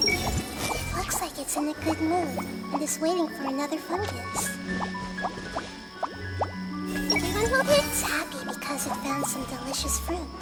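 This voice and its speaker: A woman voicing a gentle, wise little nature goddess in a video game, with a soft childlike voice and measured, thoughtful phrasing. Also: high-pitched, lively intonation, loud music behind the voice. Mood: gentle, observant. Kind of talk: video game character acting.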